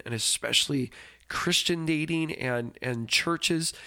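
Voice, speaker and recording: A congested man talks through his solo podcast intro, rambling and apologetic, tripping over restarts and ums. His voice sits at an ordinary middle pitch, stuffy through the nose, recorded close and dry with no room sound. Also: bright, forceful tone, dry recording. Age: adult with a daughter. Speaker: podcast host. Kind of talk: casual solo podcast talk.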